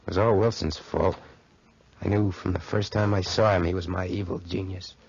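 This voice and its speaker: Brash young cad, low voice, slangy and cocky, muffled 1940s radio drama recording. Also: male voice, fairly even intonation, moderate pace, gravelly, a little mellow, slightly rough, heavily acted. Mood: confiding, defiant. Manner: slangy, cocky tough-guy talk. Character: brash smooth-talking young cad, scheming fraternity man.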